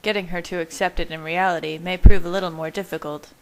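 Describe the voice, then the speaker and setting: Woman reading as an earnest young male vampire, lowish voice. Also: steady tone, fast talker, darkish tone, natural voice, poor audio. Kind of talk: audiobook dialogue.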